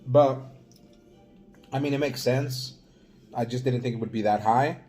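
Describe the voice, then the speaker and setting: A middle-aged man from El Salvador chats casually to his viewers in a mellow, warm voice. He talks in halting starts, often pausing on fillers as he thinks aloud. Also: mid-range voice, soft background music. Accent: Mexican-influenced Spanish. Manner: rambling, halting, many fillers. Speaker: vlogger. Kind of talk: casual vlog talk.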